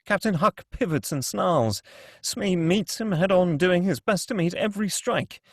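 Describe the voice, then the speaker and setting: Higher-voiced man narrating, close and dry. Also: expressive, measured pace, no room sound. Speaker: narrator reading stage directions. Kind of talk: scripted screenplay narration.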